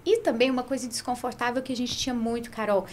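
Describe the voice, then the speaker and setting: A woman's voice: fairly high pitch, steady tone, quick pace, slight background noise. A middle-aged Brazilian woman speaking conversationally as an interview guest, often checking in with short tag questions. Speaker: guest, franchise founder. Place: small curtained podcast studio. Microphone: close podcast mic on boom arm.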